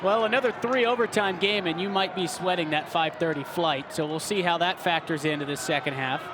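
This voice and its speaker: A man's voice: a little high, brisk, a crowd in the background, background noise. A man in his twenties working as a sports broadcaster, hosting and calling play-by-play. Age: 20s.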